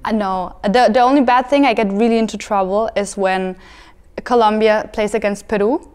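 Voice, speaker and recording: A smooth-voiced woman from Austria talks as a panel guest. She is upbeat and chatty, telling stories with energy. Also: medium pitch, steady tone, normal speed, a little mellow. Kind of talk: casual conversation.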